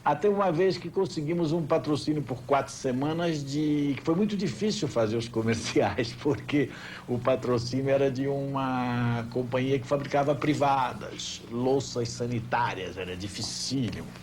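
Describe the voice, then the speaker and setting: An older Brazilian man reminiscing in an unscripted interview, with animated, emphatic storytelling. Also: medium pitch, moderate pace, a little hiss or hum. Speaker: former television director recalling his career.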